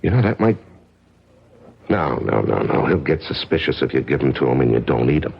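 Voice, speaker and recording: An actor playing a wary, gruff conspirator in a 1970s radio drama, blunt and skeptical, on an old radio recording. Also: male voice, very deep voice, big pitch swings, fairly quick, heavily acted. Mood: calculating, wary. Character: wary, gruff male lover conspiring in a murder. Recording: dull lo-fi vintage radio recording.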